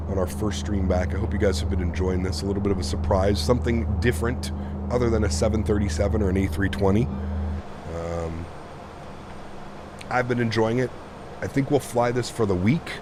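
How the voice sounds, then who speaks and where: A man with a deep voice, hoarse from being sick, casually chatting with viewers on a live stream while flying. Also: a little fast, noisy background. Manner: relaxed, thinks aloud, reads and answers chat. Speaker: flight sim streamer.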